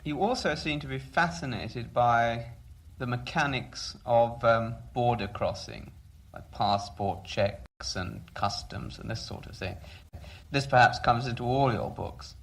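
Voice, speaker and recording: A man's voice: mid-range voice, a little slow. A man asking literary interview questions in a polite, tentative way.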